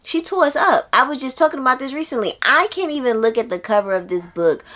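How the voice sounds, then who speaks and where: A woman's voice: high voice, expressive, quick pace, pushed and bright. A woman hosting a casual book review video, talking to viewers in an emphatic way and repeating phrases for emphasis.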